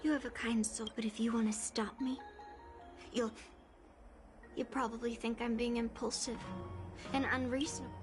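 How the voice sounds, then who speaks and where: A woman's voice: on the high side, level tone, normal speed, long pauses, close to an everyday voice. A woman voicing a headstrong young swordswoman in a video game, blunt, guarded and earnest. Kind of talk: English video game voice acting. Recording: music behind the voice.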